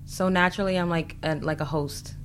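A chatty American woman with a low-pitched voice, talking casually as a guest on a podcast. Her delivery is informal and conversational, over faint background noise. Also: fairly even intonation, fairly quick. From United States. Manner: chatty, informal, uses "like" often. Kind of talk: casual chat.

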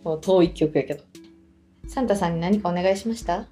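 A woman with a Kansai accent chatting casually as co-host of a radio show, telling stories in an animated way. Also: lowish voice, quick pace, faint music behind. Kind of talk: casual chat.

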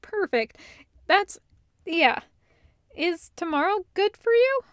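Woman reading as hesitant, kindly graying man, lifted pitch. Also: big pitch swings, takes it slowly, no room sound, clean studio sound, exaggerated voice. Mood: relieved, earnest. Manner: hesitant, considerate, filler-heavy. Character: kindly, tentative, graying male friend.

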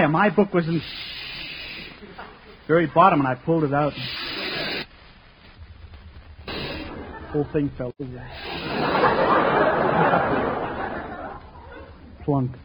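A man's voice: a little high, moderate pace, long pauses, a loud crowd, natural voice. An actor playing a bashful, naive and goofy young man in 1940s radio comedy style, hesitant and stammering. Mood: sheepish. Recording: noisy, dull 1940s radio recording.

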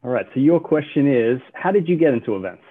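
An upbeat male podcast host walking his guests through a board game and its questions. His voice sits at an ordinary pitch and comes through a thin, phone-like line. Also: expressive, quick pace, breathy edge. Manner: upbeat, explains rules. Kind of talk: hosting a game-show style podcast.

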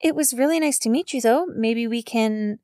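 A woman's voice: mid-range voice, lively intonation, brisk, darkish tone, dry recording, clean studio sound, lightly acted. A woman voicing a teenage girl ghost in an audiobook, casual and hesitant, polite but sardonic.

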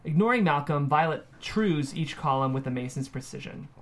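A man reads out screenplay stage directions as a narrator. His voice is a little higher than most men's, and he delivers scripted scene description for a table read. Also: expressive, normal speed.

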